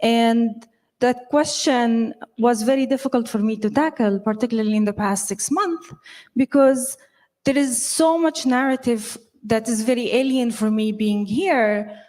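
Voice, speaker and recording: A woman from Gaza giving reflective, personal remarks as a panelist, halting as she searches for words, on an auditorium stage. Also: a little high, a little mellow. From Gaza, Palestine.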